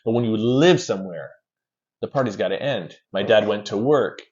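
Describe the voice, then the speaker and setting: A man from Canada, a guest in a casual interview, telling stories in an animated way and restarting his words often. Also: lowish voice, normal speed. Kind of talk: casual chat, storytelling. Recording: dull lo-fi sound.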